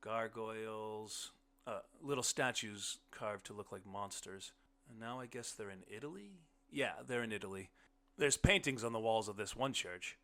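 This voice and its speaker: An uneasy, dry husband, voiced by a man reading aloud explains things haltingly and casually. His voice is very bright and forceful, an awkward host in a storybook. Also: on the low side. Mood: halting, explaining. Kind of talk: audiobook character dialogue.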